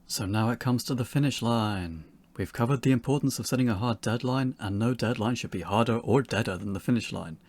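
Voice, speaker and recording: A man presenting a pre-recorded instructional talk as a game developer, in a measured, explanatory manner. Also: medium pitch, darkish tone, no room sound.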